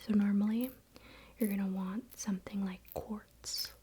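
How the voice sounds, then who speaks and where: A woman whispering softly in a breathy voice, speaking as an ASMR host giving calm spiritual guidance. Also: mid-range voice, slight background noise. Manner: soft, slow, unhurried.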